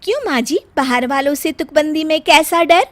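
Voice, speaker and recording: Woman playing a high, cheeky young daughter-in-law. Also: animated, fairly bright tone. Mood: playful, cheerful. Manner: sing-song rhyming replies with drawn-out endings.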